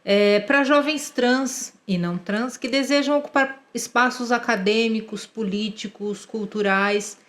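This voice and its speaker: A woman's voice: fairly high pitch, a little slow, hardly any pauses, fairly bright tone. A woman with a Brazilian accent, putting prepared questions to a guest as the host of a podcast.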